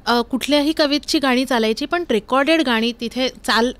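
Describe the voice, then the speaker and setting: A woman's voice: high voice, normal speed, fairly bright tone, smooth. A woman, speaking as a guest in a casual interview.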